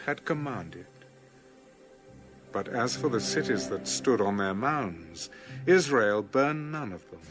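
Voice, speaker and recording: Male scripture narrator, mid-pitched, dramatic and solemn, animated intonation, scored with music.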